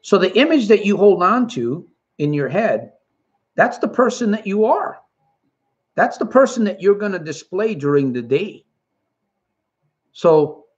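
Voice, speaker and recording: A man's voice: high voice, muffled recording. A middle-aged man from Puerto Rico, raised in Connecticut, hosting a motivational live stream and reading from the chat with earnest, repetitive emphasis. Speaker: livestream host and recovery coach.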